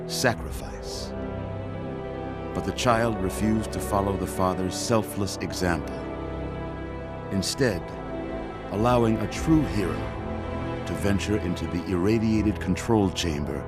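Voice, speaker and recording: An actor playing a solemn game narrator, grave and deliberate, telling an epilogue in a portentous cinematic style. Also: male voice, sits low, loud music behind the voice. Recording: voice over loud score.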